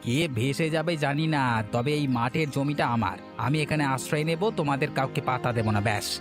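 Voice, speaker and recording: A man playing an arrogant, selfish village bully in a cartoon, with a gruff, dismissive, threatening manner. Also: medium pitch, fairly quick, lightly acted. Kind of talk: Bengali moral-story cartoon dubbing. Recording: music behind the voice.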